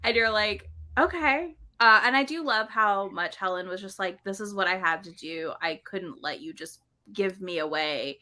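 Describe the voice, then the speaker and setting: A woman speaks in a bright, crisp-edged voice of average pitch. She is a chatty podcast panelist with a very casual style, often slipping into quoted reactions as she talks. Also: moderate pace, hardly any pauses. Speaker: book discussion podcast panelist. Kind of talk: casual chat.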